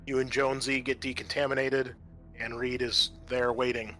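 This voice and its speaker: Guy game mastering a tabletop rpg, bright forceful voice, explains stuff conversationally. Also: medium pitch, level tone, normal speed, faint music behind. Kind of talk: running a tabletop roleplaying game.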